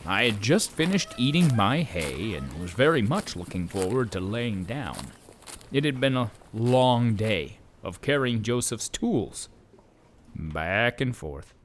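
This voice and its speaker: A man's voice: medium pitch, very animated, takes it slowly, slight background noise. A man playing an observant, easily startled donkey who tells his story in a wry, bemused way for a children's audio drama. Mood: weary, puzzled.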